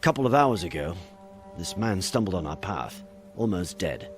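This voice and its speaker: Low-voiced caravan leader. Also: male voice, very animated, moderate pace, soft background music. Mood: grave, grateful. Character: grateful, grave male caravan leader. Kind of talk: fantasy video game acting.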